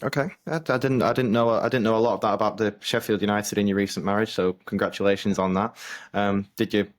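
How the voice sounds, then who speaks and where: A man's voice: lowish voice, steady tone, quick pace. A man hosting a podcast, asking friendly questions with some hesitant restarts. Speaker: podcast host, interviewer.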